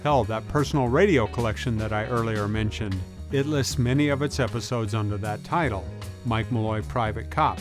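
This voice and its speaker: A man's voice: on the low side, moderate pace. Man narrating over prominent guitar music. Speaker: video essayist, narrator. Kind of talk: scripted documentary narration. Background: music bed with guitar.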